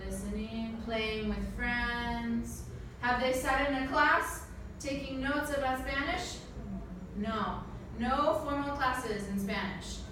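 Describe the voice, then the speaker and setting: A woman's voice: fairly high pitch, fairly even intonation, takes it slowly. A woman teaching a class, asking her listeners questions and checking with them often. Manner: asks the audience questions and checks with "right?". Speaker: teacher giving a talk to language students. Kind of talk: interactive classroom talk. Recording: echoey room, distant mic, noise.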